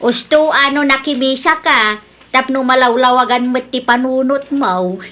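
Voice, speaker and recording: A woman's voice: high voice, moderate pace, sharp tone. A woman acting a frank village mother in a radio comedy drama, giving admonishing advice.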